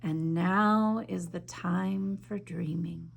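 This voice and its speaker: A woman teacher reading a picture book aloud in a gentle, measured way, then chatting enthusiastically about its illustrations. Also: on the low side, steady tone, creaky. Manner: gentle, measured reading with soft drops in volume; enthusiastic, chatty when discussing the art. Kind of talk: slow storybook read-aloud, then art discussion.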